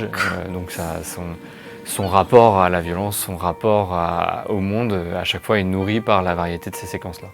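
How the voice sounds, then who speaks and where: Over a music bed, a man with a fairly low voice explains things in an interview. He is a game developer, phrasing his points thoughtfully and with some hesitation.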